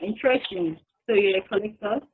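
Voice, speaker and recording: A woman's voice: mid-range voice, a little fast, husky, lightly acted. Woman acting as curious interviewer, phone-like sound. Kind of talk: amateur table read of a script. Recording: thin phone-like lo-fi microphone.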